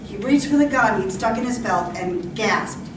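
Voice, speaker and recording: High-voiced woman narrator, very noisy roomy recording. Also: lively intonation, moderate pace. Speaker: narrator of a radio play. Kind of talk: dramatic scripted narration, old-time radio. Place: live stage performance in a room. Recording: roomy, distant, very noisy live stage recording.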